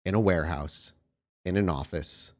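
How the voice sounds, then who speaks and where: A man's voice: deep voice, normal speed. A man acting as storyteller of a tabletop roleplaying game, casually narrating scenes and refereeing the players.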